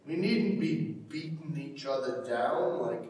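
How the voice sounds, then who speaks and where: A man's voice: mid-range voice, expressive, darkish tone, slight background noise. An actor playing a stern, curt old farmer and father in a staged play reading. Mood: guarded, proud. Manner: deliberate, curt, authoritative. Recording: echoey hall, distant microphone.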